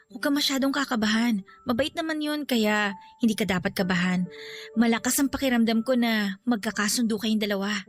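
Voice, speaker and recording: A woman playing a gentle, reassuring young niece in a radio drama, with a Filipino accent. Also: a little high, fairly quick, close to an everyday voice. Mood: reassuring, playful. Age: young adult. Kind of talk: Tagalog radio drama acting.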